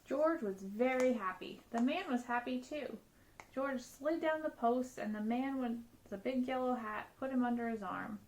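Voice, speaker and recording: A woman reading a children's picture book aloud as a storyteller, with an expressive, dramatic delivery. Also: on the high side, normal speed, a little hiss or hum, rough recording.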